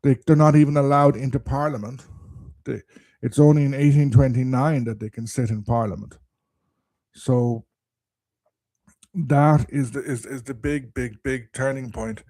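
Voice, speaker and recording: A man's voice: mid-range voice, fairly even intonation, moderate pace, pauses often, mellow, no room sound. A man giving an informal live history talk, explaining conversationally and thinking aloud, his voice strained by a coming cold. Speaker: history presenter.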